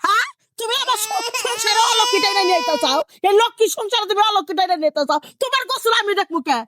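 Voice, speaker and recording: A woman's voice: very high voice, fast, noisy background. A woman playing a scolding, old-fashioned Bangladeshi village mother-in-law in a domestic drama, haranguing with repeated questions in a rural Bangladeshi accent. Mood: outraged. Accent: rural Bangladeshi Bengali. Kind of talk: improvised-sounding domestic drama acting.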